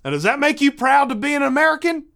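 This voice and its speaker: A man voicing a folksy small-town father in a comic story, proud, patriotic and gently instructive. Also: extremely high pitch, sing-song, quick pace, gravelly, pitched above the performer's own voice, exaggerated voice. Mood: leading, instructive.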